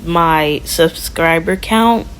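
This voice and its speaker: A woman's voice: deep voice, drawn-out words, low-quality recording. A woman giving a casual step-by-step tutorial as a voice-over. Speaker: tutorial host. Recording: audible background noise.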